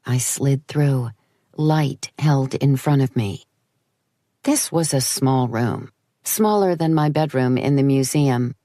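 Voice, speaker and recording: A woman's voice: rumbling low, slow, mellow, no echo. A woman reading first-person horror fiction as an audiobook narrator, in a wry, conversational storytelling way.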